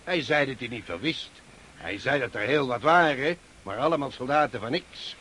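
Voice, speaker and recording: A rough, cheeky Cossack scout in a radio drama, boasting like a country storyteller. The tone is sharp and forceful with very lively pitch, over a slightly noisy recording. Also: male voice, moderate pace. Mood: sly, placating. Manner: boastful, rustic storytelling with feigned innocence. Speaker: actor in a radio play. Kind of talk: Dutch radio drama acting.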